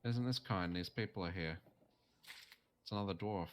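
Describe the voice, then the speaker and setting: A young Australian man chatting casually while streaming a game, relaxed and laughing easily, with frequent ums. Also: deep voice, moderate pace, slight background noise. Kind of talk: casual chat while gaming.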